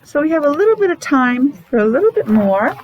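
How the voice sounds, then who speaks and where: Lady teacher doing counting with little kids, kinda high voice, asks questions and encourages, bit of noise behind. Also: sing-song, fairly quick, darkish tone, low-quality recording. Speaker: preschool teacher. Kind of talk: children's counting lesson.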